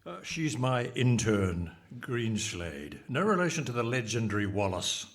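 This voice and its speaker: A man's voice: on the low side, very animated, unhurried. A man playing a pompous, fussy announcer in an absurd radio comedy, delivering scripted introductions with theatrical formality. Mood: mock-solemn. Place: hall stage, live performance.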